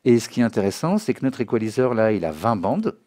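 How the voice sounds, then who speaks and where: Low-voiced man teaching music software. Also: very animated, fast talker, slight background noise. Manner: didactic, often checking understanding. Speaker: music software trainer giving a tutorial. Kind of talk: informal spoken software tutorial. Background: synthesizer and music demo sounds.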